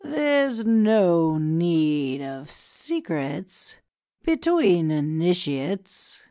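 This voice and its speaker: A pompous, scheming Egyptian priest who speaks slowly and with grand ceremony. A woman voices him in a lowish, unhurried voice. The recording is very dry and close. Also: a little mellow, dry recording. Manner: grand, confiding, measured. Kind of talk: audiobook dialogue reading.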